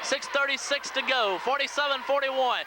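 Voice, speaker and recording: Male radio sports announcer, very high voice, clipped basketball play-by-play, noisy old local broadcast with a clear crowd behind. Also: moderate pace. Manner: clipped running call in short phrases. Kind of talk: live high school basketball play-by-play commentary. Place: school gym during a game. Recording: noisy 1980s local radio audio from VHS. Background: loud gym crowd and noise.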